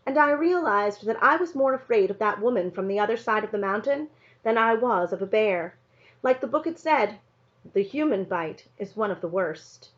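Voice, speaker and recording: A woman narrates a story as a read-aloud, with expressive storybook phrasing. Her voice sits at a middle pitch. The recording is a little dull and lo-fi.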